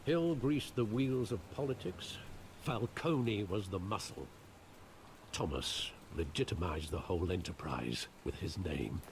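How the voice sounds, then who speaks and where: An actor playing a loyal, weary older butler in a video game, speaking in a measured, somber way. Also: male voice, low voice, lively intonation. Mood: pleading. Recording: game audio with rain noise.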